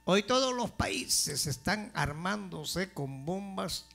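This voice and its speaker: A male pastor delivering a sermon in a slow, deliberate way, building to forceful emphasis. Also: sits high, expressive, vocal fry, faint music behind.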